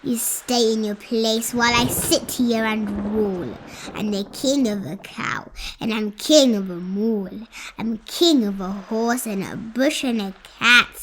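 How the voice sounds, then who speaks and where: Woman acting a pompous bellowing turtle king, drawn-out words. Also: fairly bright tone, a little hiss or hum, low-quality recording. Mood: imperious, bellowing. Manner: imperious, booming, boastful. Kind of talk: storybook read-aloud character voice.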